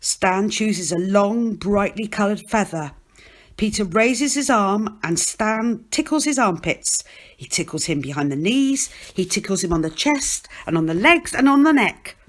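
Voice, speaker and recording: A woman reading a children's novel aloud as scripted narration, in an expressive storytelling manner. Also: medium pitch, measured pace. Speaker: storybook reader.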